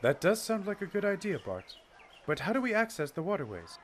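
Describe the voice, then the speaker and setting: An actor playing a courteous, measured doctor who mentors and plans in an audio drama. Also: male voice, high voice, very animated, a little hiss or hum. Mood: thoughtful. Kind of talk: radio-play acting.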